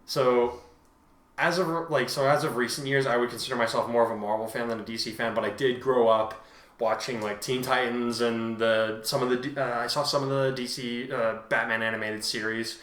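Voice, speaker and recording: A man's voice: mid-range voice, fairly even intonation, a little fast, a bit bright, echoey room. A man with a mid-range voice works as host and narrator. He talks casually and also reads stories aloud with drama. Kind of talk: casual chat and dramatic story reading.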